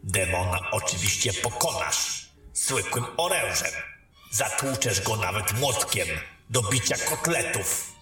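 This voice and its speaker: A low male voice acted by a man of a blunt fantasy court mage, speaking slowly and forcefully. He is insistent and sardonic, heard in a heavy, distant echo. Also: expressive. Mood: urgent advising. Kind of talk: fantasy audio drama acting. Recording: very echoey, distant, faint music.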